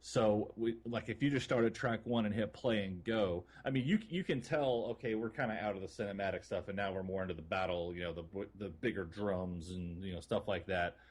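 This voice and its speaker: A man's voice: lowish voice, brisk, hardly any pauses, breathy edge. A man co-hosting a casual gaming podcast, talking in an informal, enthusiastic, loosely phrased way. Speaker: podcast co-host.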